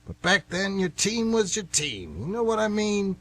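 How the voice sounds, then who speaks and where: A man's voice: high voice, very animated, normal speed, a bit bright, heavily acted. A man playing a folksy, nostalgic older baseball fan who rambles emphatically in an amateur radio play. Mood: nostalgic, animated.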